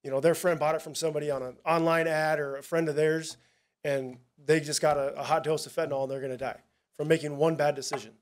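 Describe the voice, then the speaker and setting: A man with a slightly high voice speaks plainly to a town hall audience as a narcotics officer. He talks in a casual, halting way, stopping and restarting his sentences often. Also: level tone. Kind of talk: presentation at a public town hall.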